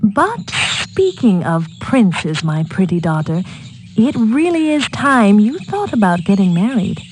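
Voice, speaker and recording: An actress playing a fussy, matronly queen mother in an animated game, speaking in an insistent manner. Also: mid-range voice, measured pace, low-quality recording. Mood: coaxing. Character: fussy, coaxing queen mother pushing marriage. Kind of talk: animated game cutscene acting. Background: loud music.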